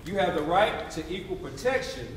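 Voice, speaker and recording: A man's voice: sits high, lively intonation, moderate pace, a loud crowd. In a heavily echoing hall, away from the mic, a man declaims in a raised voice. He narrates a theatre piece emphatically, like a preacher working a call and response. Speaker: stage narrator. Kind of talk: dramatic readers theatre oration. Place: theatre stage before a responding audience. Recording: very echoey live hall, distant mic.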